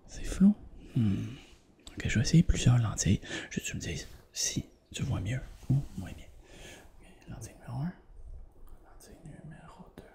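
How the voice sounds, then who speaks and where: Whispering Quebec French man, creaky ASMR voice. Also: medium pitch, big pitch swings, moderate pace. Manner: gentle, repetitive phrasing with reassuring check-ins. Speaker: ASMR performer playing an eye examiner. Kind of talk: ASMR roleplay, soft whispering to the listener.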